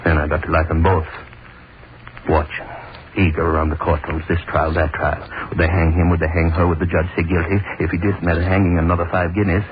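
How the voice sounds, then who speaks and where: A man's voice: low-pitched, little pitch movement, brisk, close to an everyday voice. An actor playing an obsessive, cruel hangman who gloats and broods over his work, in a 1930s radio drama monologue. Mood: gloating. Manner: gloating, brooding, lingers on words. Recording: scratchy tinny 1930s radio broadcast.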